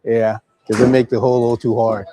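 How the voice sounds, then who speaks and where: A hoarse man with a raspy voice, hosting a casual live golf chat with easygoing talk full of fillers and restarts. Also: mid-range voice, flat delivery, quick pace, dark tone. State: hoarse from days of talking. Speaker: golf club host.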